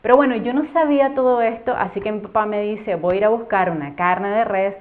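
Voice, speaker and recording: A woman's voice: medium pitch, fairly quick. Cuban woman telling a story, tinny sound. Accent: Cuban Spanish. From Cuba. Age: 30s. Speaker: YouTube educator. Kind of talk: storytime vlog. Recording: phone-like narrow band.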